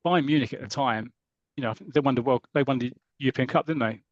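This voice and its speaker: A man's voice: mid-range voice, fast talker, no room sound, highs cut off. A man hosts a casual podcast chat in a mid-range voice. He retells stories with enthusiasm. The sound is dry, with little room in it. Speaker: podcast host.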